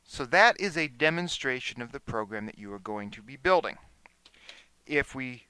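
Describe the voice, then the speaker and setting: Male instructor for screencast tutorial: animated intonation, bright forceful tone, measured explanatory delivery. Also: medium pitch, clean tone, faint music behind. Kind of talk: explanatory software demonstration.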